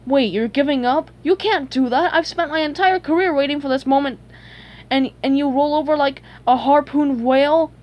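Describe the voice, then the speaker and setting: A woman's voice: fairly high pitch, brisk, few pauses, natural voice. A tiny theatrical cartoon villain, put on by a woman, gloating at a rival. The voice is raised and sing-song, full of mockery. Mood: indignant.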